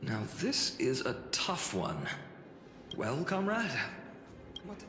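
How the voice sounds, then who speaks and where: A young warrior hero from a game, earnest and wry. His pitch moves widely while his words come at a slow, dramatic pace. The voice rings with long reverb. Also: wildly swooping pitch, noisy background, heavily acted. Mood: wry, confident. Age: young adult. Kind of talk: video game voice acting. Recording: long reverb with music behind.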